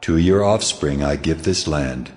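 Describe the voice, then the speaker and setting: A man's voice: rumbling low, unhurried, darkish tone. An actor playing God in a dramatized Bible reading, a solemn and commanding male divine voice making declarations. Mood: solemn, prophetic. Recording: long cavernous reverb.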